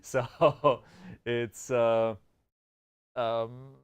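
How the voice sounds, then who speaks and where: A man chatting on a livestream, his voice smooth and clear in an even middle register. He talks through technical details in long, halting runs, full of filler sounds and restarts. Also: medium pitch. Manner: rambling technical talk, many fillers and restarts. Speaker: developer and podcast co-host. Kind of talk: casual livestream chat.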